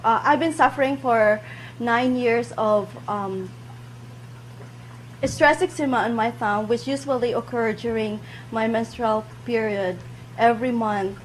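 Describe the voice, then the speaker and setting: A woman with a slightly high voice speaks carefully, with small hesitations. Her delivery is plain and sincere. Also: a little slow, a little hiss or hum, rough recording. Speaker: customer giving a testimonial. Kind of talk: unscripted spoken testimonial.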